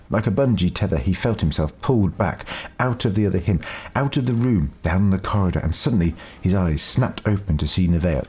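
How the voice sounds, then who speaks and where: A male narrator reads a scripted story in a low-pitched voice. The delivery is that of an audiobook, with music playing behind him. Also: warm tone.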